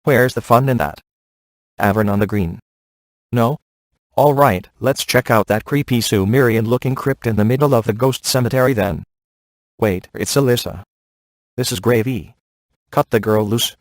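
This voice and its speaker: A man acting a cocky, laid-back ghost hunter in a video game, sardonic and offhand with deadpan sarcasm. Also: medium pitch, dry recording, close to an everyday voice.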